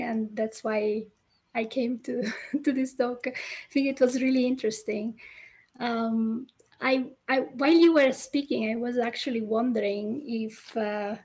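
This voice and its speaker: A woman's voice: on the high side, a little mellow, rough recording. A woman attending a seminar, asking a question hesitantly with many restarts on a video call.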